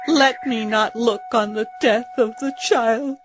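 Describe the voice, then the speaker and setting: A woman's voice: on the high side, steady tone, measured pace, music in the background, close to an everyday voice. A woman playing a vulnerable, devout runaway servant in a dramatized scripture reading. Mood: anguished.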